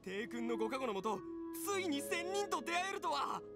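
A pushy young man from a video game. His delivery is highly animated, excitable and theatrical, with presumptuous airs and music behind it. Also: moderate pace. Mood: excited, eager. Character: pushy, entitled young man seeking immortals' blessings.

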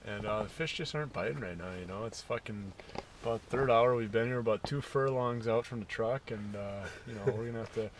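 A young man in his twenties rambling in a deadpan, mock-serious way during a joking interview, sitting in an ice-fishing shelter. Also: lowish voice, brisk, far from the mic, noisy background. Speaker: friend being interviewed.

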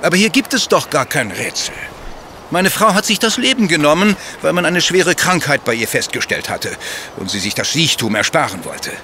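An actor playing a self-assured gentleman widower under suspicion in a radio play, formal, insistent and defensive. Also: a little high, sing-song, moderate pace, a little hiss or hum, exaggerated voice. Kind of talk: German radio play acting.

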